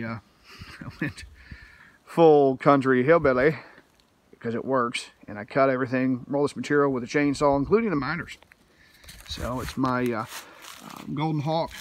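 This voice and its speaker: A middle-aged man from Missouri, rambling proudly and folksily while walking around outdoors with his phone camera. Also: medium pitch. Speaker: vlogger showing his canoe rack. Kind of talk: casual off-the-cuff walkaround. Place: outdoors in rural woods. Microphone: phone camera held while walking.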